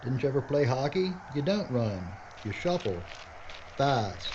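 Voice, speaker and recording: A man's voice: medium pitch, sing-song, measured pace. A man acting a blunt, sarcastic adventurer in an audio drama, sceptical and colloquial. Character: wry, sceptical adventurer man, exasperated and quick to quip. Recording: dull lo-fi audio, noisy ambience behind.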